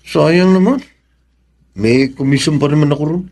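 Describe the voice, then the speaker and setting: An actor with a Filipino accent playing an emotional, anxious young husband in a radio drama. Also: male voice, fairly high pitch, moderate pace. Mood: curious, regretful. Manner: expressive, pleading.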